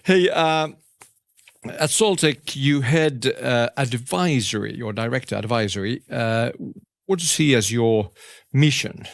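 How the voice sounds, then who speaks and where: A man you would hear hosting the speaker chats at a business event, informal, quick to chime in with agreement, his voice captured close and dry. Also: medium pitch, gravelly, no room sound. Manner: informal, interjects short affirmations. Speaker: event host, interviewer. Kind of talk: casual interview chat.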